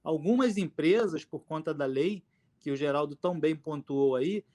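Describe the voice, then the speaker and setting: Middle-aged mineiro man, fairly high voice, earnest panelist on a video call. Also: a little mellow. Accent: Brazilian Portuguese, Minas Gerais. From Minas Gerais, Brazil. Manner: earnest, measured, with frequent "é" fillers and restarts. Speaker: forum panelist, mouth painter. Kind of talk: panel talk at a public forum. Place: indoor room with his paintings behind him.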